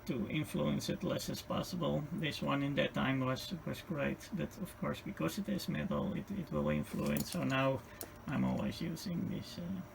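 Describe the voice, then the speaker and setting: Halting man with very creaky, higher-pitched voice. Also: expressive. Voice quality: creaky, gravelly voice. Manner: halting, piecemeal explaining with repeated phrases. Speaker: radio repair tutorial host. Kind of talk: unscripted hands-on technical demonstration. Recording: clearly noisy home-made video sound.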